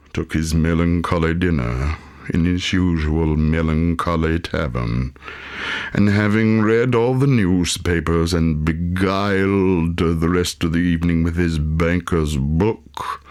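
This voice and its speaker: Male narrator for classic fiction: bass register, unhurried, theatrical, deliberate delivery. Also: a little mellow. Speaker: audiobook reader, actor. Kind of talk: dramatic storytelling, scripted narration of a classic tale.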